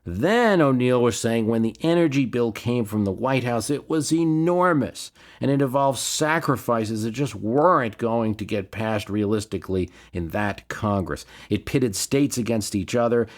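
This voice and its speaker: A man's voice: mid-range voice, lively intonation, moderate pace, hardly any pauses. A man hosting a history podcast, talking through political history in a conversational, emphatic way with rhetorical questions.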